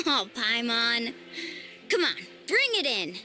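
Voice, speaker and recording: A friendly, principled young tour guide in a video game. Her voice is high and animated, breezy and confident, with light music behind it. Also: takes it slowly, heavily acted. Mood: cheerful. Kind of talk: video game character acting.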